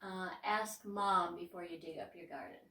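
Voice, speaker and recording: A woman's voice: on the low side, far from the mic. A middle-aged woman with an Upper Midwest American accent, reading a picture book aloud to children in a church and stopping to explain the pictures. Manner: gentle, slow and expressive, pausing to explain pictures. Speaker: children's librarian hosting storytime. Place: church room. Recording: roomy sound, mic set back.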